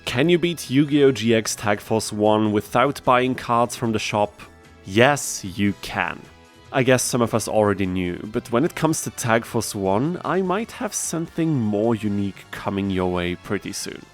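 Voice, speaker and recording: A man's voice: on the low side, lively intonation, measured pace. A man narrating a scripted gaming challenge video as the host of an online channel. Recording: music behind the voice. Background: guitar instrumental music.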